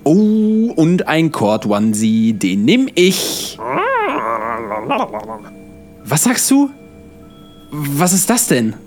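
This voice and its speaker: A man's voice: a little high, very animated, drawn-out words, long pauses, darkish tone, heavily acted. Guy acting a frantic slacker buddy in a comedy audio drama, slangy n dramatic, voice jumps around, bit of music behind. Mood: excited searching. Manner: exclamatory, slangy, melodramatic. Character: frantic loyal young slacker friend, comic hero.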